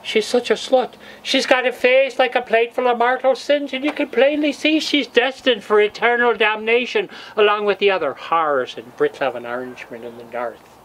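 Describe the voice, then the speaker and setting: A woman hosting a comic monologue, gossiping in a pious, scolding way and dropping low for asides. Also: normal speed, few pauses, poor audio. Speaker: comedy show host.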